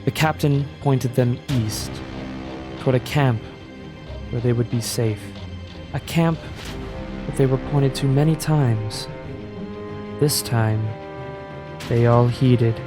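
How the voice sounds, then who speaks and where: A man reading a scripted fantasy recap in a measured storybook manner. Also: medium pitch. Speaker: narrator. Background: clear background music.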